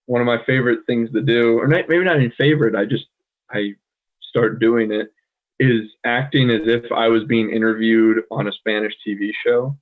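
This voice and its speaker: A man from the United States co-hosting a casual chat, thoughtful and pausing often with "um". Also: mid-range voice, steady tone. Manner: thoughtful, many "um" fillers. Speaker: podcast co-host. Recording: tinny phone-like line.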